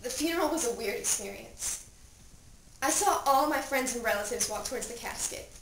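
High-pitched anguished teenage girl, acted by a woman, echoey. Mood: sorrowful. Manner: theatrical, swinging from hushed telling to desperate cries. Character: dead seventeen-year-old girl, anguished, pleading, recalling her death. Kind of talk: dramatic monologue recitation. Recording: echoey room, distant mic, clear noise.

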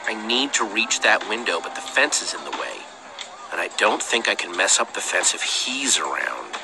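A man's voice: lowish voice, big pitch swings, normal speed, creaky. An actor playing an earnest, pleading young man, the scrappy hero of an adventure game, talking casually. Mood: thinking aloud. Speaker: game protagonist. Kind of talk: animated game dialogue. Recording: game music behind the voice.

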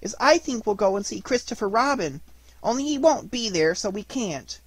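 Guy doing a tiny anxious storybook pig, high lively voice, earnest and polite. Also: moderate pace, breathy edge, no echo, low-quality recording.

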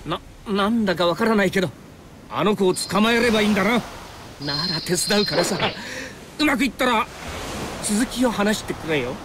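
Animated, easygoing young time-traveling fighter. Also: male voice, sits high, normal speed, background noise. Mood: eager, cheeky. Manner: casual, slangy, pleading. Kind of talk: anime video game voice acting. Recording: background music under the voice.

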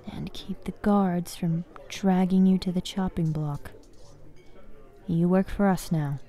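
A woman playing a bossy but caring young fighters guild master in an ASMR fantasy roleplay, soft-spoken and commanding. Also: fairly low pitch, steady tone. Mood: stern. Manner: soft-spoken, commanding, frequent sighs and pauses.

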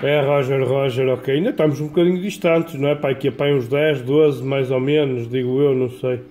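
A man's voice: mid-range voice, fairly even intonation, a little fast. A man chatting casually over the radio in a colloquial, folksy way. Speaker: amateur CB radio operator. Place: small home radio shack. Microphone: phone filming the radio, off-camera speaker. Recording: clear background noise, home-made phone recording.

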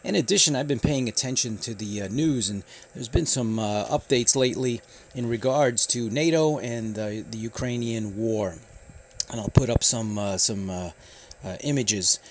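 A man from the United States hosting a radio hobby channel, giving a casual news update in a halting, informal way. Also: mid-range voice. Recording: faint background noise.

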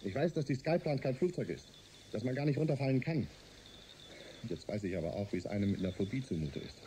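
An actor playing a ship's engineer and navigation officer in a German science-fiction radio drama, clipped and deferential. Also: male voice, medium pitch, animated, quick pace, warm tone, a little hiss or hum, close to an everyday voice. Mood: ashamed, shaken. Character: rattled male spaceship engineer and navigation officer.

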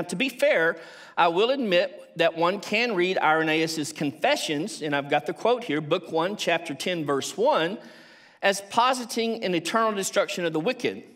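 A man in his sixties with a Texan accent, giving a measured academic lecture and reading quotations aloud from a stage lectern. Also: fairly high pitch, a bit bright. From Texas, United States. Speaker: theology professor, conference speaker. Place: large auditorium stage at a lectern.

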